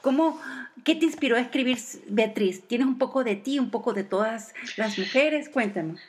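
A woman's voice: on the high side, moderate pace, darkish tone, a little hiss or hum. A woman hosting a radio interview, asking rambling questions with many hesitations. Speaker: radio show host.

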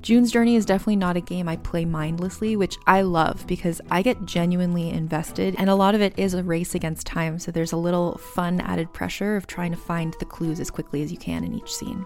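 A woman's voice: fairly low pitch, fairly even intonation, brisk, few pauses. A woman presenting an advertisement as a personal, conversational testimonial. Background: light music.

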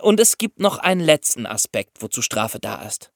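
A man's voice: medium pitch, sing-song, normal speed, creaky, no room sound, clean studio sound. A man co-hosting a podcast for young listeners, explaining ideas step by step. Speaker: podcast co-host explaining law.

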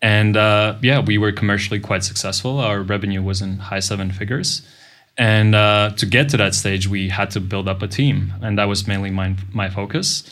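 A middle-aged man, a podcast guest explaining things in a measured way with frequent pauses. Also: fairly low pitch, fairly even intonation, fairly bright tone. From Latvia. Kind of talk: casual interview talk.

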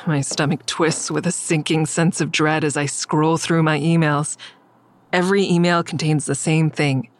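A woman's voice: deep voice, steady tone, normal speed, natural voice. A woman acting an anxious, secretive character who is in over her head, narrating and speaking in the first person in an audio drama. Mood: tense, dreading.

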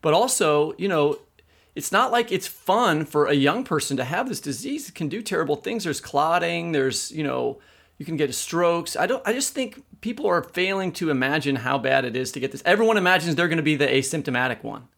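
A man's voice: fairly high pitch, lively intonation, a little fast, gravelly, a bit bright. A man co-hosting a discussion, conversational and opinionated, restarting sentences as he makes his point. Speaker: podcast co-host.

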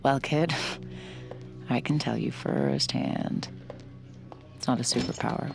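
A woman's voice: extremely low pitch, sing-song, takes it slowly, gravelly, over music, heavily acted. An actress playing a wry, jaded young woman who reads minds and narrates her own story with dry sarcasm. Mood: wry narration. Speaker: narrator and lead. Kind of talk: audio drama acting.

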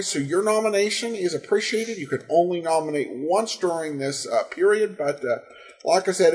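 A man hosting a podcast, giving a relaxed, chatty introduction with many fillers. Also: high voice. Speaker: podcast host.

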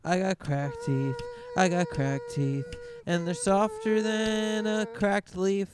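A man's voice: high-pitched, animated, takes it slowly, over music. A man co-hosting a comedy podcast, crooning backing vocals and ad-libbed joke songs.

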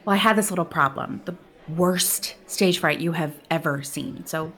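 A woman's voice: low voice, moderate pace, a bit bright. A woman playing a flustered, giggly casting director. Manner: flustered, giggly, stumbling over words. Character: nervous woman casting director, awkward, self-deprecating. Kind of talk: comedic audio drama.

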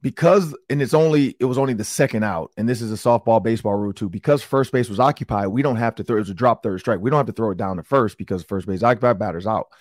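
A middle-aged man with an Upper Midwest American accent hosts a sports talk podcast, his voice hoarse and raspy from yelling, and he tells stories in a rambling, excitable way. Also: on the low side, fast talker, few pauses, dry recording, clean studio sound. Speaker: sports talk show host. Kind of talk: casual podcast chat.

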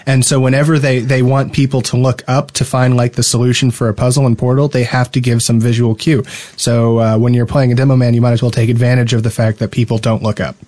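A man's voice: medium pitch, level tone, fast, hardly any pauses, a little mellow. A man co-hosting a casual gaming podcast, chatting in a hesitant, rambling, conversational way. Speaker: podcast co-host.

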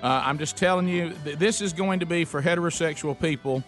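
A man's voice: a little high, fairly quick, a bit bright, soft background music. A man, hosting a radio talk show in a joking, folksy way with plenty of fillers. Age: 50s. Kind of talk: casual radio banter.

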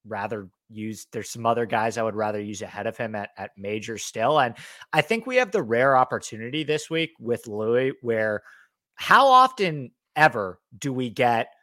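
A man's voice: mid-range voice, normal speed, dry recording. A man co-hosting a golf betting podcast, talking through his picks in casual chat. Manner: animated, talks through picks with fillers. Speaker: podcast co-host, golf analyst.

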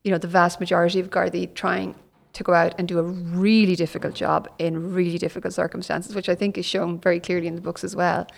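An Irish woman, an author, talks through stories in a podcast interview. Her voice sits low with a gravelly texture. She tells her stories with animation. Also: flat delivery, fast, few pauses. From Ireland. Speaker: author guest.

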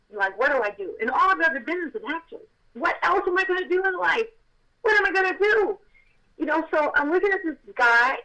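An actress with a childlike voice telling a personal story with feeling, at times close to shouting. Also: female voice, a little high, muffled recording. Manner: animated, swells to near shouting at intense moments. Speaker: actress telling her testimony.

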